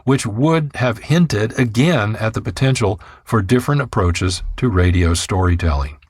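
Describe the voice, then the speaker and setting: A man narrating a scripted radio documentary in a measured, formal way. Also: lowish voice.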